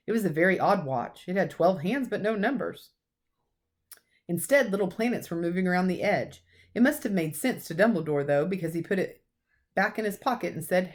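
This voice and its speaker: A woman reading a children's story aloud expressively, as a teacher. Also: on the low side.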